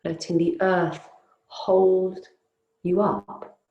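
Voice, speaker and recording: A woman teaching yoga nidra, guiding a relaxation in a soothing, unhurried, gently inviting way with long pauses. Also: on the low side, little pitch movement, reverberant, highs cut off. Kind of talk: guided relaxation meditation.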